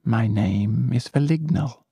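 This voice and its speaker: A man voicing a calm, sinister creature living in a drain, soft and measured. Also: mid-range voice, gravelly, breathy edge, no room sound, heavily acted. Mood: menacing.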